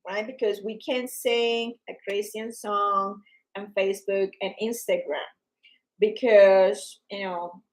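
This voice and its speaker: A Colombian woman with an accent, co-hosting a live worship stream in an energetic, excitable way. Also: mid-range voice, slow pace, rough recording. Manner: energetic, excitable, with squeals and laughs. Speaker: co-host of an online worship show. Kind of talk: casual live-stream chat. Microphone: live stream on phones and devices.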